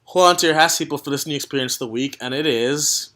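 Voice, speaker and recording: A man's voice: a little high, quick pace, sharp tone. A young man hosting a casual podcast, joking, teasing his co-host and rambling between topics. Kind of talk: casual chat.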